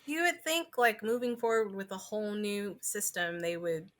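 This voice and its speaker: A woman's voice: mid-range voice, moderate pace, fairly bright tone. A woman taking part in a podcast, chatting casually. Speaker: podcast participant. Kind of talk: casual chat.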